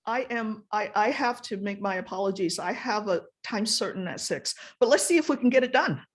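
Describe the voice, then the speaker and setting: A woman's voice: mid-range voice, brisk. A woman chairing a committee meeting, who often stumbles and repeats words while she thinks.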